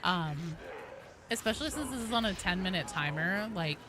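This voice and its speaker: A woman's voice: lowish voice, animated, normal speed, crowd noise. A woman game streamer chatting casually through live gameplay, informal and sweary, full of gamer slang. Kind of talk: casual live gameplay commentary to chat and teammates.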